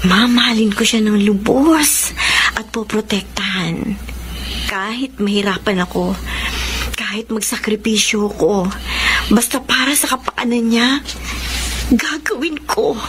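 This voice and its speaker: An actress playing a tender, excited pregnant first-time mother in a Filipino radio drama. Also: a little high, measured pace, long pauses, noisy background, lightly acted. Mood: earnest, devoted.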